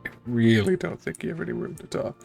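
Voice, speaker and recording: A woman's voice: low voice, expressive, fast talker, creaky, warm tone, faint music behind. A woman streamer giving casual reactions while playing through a game. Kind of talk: casual playthrough commentary.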